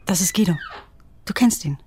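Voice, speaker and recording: An actress in a German radio drama playing a wry, frank young woman with a husky voice. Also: on the low side, lively intonation, normal speed, warm tone, slight background noise, natural voice. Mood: flustered. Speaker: actor in a radio play.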